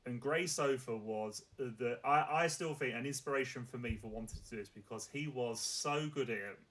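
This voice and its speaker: A man's voice: mid-range voice, moderate pace, fairly bright tone, poor audio. A man hosting a casual live-streamed chat show, asking rambling questions full of fillers and restarts. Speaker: talk show host.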